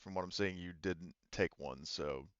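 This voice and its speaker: A man's voice: sits low, moderate pace, no room sound, highs cut off. A man running a tabletop roleplaying game as game master, explaining the situation conversationally.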